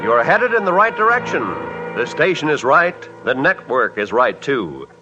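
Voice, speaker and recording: A man's voice: medium pitch, very animated, normal speed. A man announcing in a scripted, polished and emphatic 1950s network radio style. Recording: dull vintage radio recording, faint music.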